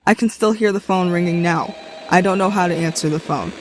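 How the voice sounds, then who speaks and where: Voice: mid-range voice, fairly quick, a little hiss or hum, rough recording. A video creator narrating a scripted video essay.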